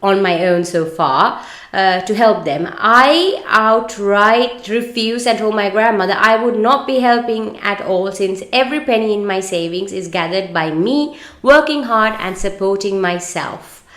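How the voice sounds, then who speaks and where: A woman co-hosting a podcast, speaking casually in a halting, stumbling way. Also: mid-range voice, fairly bright tone. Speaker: podcast co-host. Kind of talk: reading a story aloud and casual discussion. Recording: echoey room.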